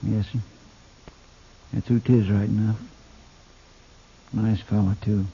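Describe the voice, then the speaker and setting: Loyal Western sidekick: folksy, deferential country drawl, very soft-edged tone, dull vintage radio sound. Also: male voice, on the low side, flat delivery, slow pace, vocal fry, breathy edge, exaggerated voice. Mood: subdued, dutiful. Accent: American, rural Western drawl. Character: loyal, folksy frontier deputy, plain-spoken and deferential. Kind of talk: 1950s radio western acting. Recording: muffled, faintly noisy vintage radio recording.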